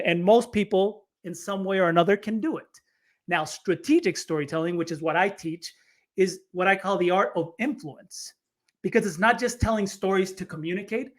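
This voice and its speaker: A man from Chile, a storytelling coach, explaining his method with energy in a podcast interview, often repeating words as he starts a phrase. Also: high-pitched.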